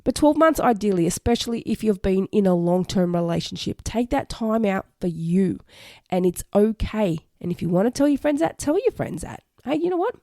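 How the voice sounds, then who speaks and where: A woman hosting a solo advice podcast, her voice a little croaky from recent illness, earnest and reassuring as she repeats her key points. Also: mid-range voice, very animated, normal speed, dark tone, no echo, clean studio sound. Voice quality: slightly hoarse. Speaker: podcast host.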